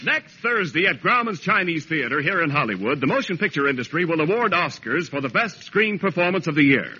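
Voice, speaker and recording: A man's voice: a little high, very animated, fairly quick, over music. A man delivering polished, emphatic scripted announcements and narration in the declamatory manner of 1940s radio. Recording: dull old radio broadcast recording.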